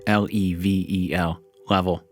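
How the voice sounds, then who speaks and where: Creepy, obsessive man in low voice put on by a male narrator. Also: flat delivery, faint music behind. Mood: eerie, obsessive. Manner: pleading, spelling words out slowly, half whispering.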